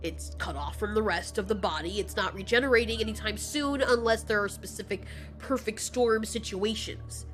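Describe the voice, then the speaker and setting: A woman's voice: medium pitch, normal speed, few pauses, faint music behind, close to an everyday voice. Woman acting a male kaiju, mid-range voice, dismissive, sarcastic, callous, coolly menacing, audio drama. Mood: matter-of-fact.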